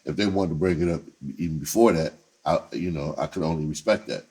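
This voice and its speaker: A man's voice: a bass voice, a little fast, darkish tone, husky, low-quality recording. A man telling a personal story in casual, profane slang, repeating himself and acting out conversations as he goes. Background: music under the voice.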